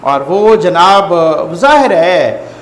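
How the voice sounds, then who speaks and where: A man's voice: sits high, very animated, drawn-out words. A man with a Pakistani accent giving informal news commentary to viewers, conversational and repeating phrases for emphasis. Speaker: host and political news commentator. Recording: echoey reverberant room, faint background noise.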